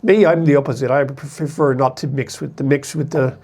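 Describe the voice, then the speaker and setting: A man's voice: mid-range voice, fairly even intonation, brisk, a little mellow, breathy edge. A man from the United States talking casually to camera as an expat vlogger, in a rambling, conversational way that often corrects itself.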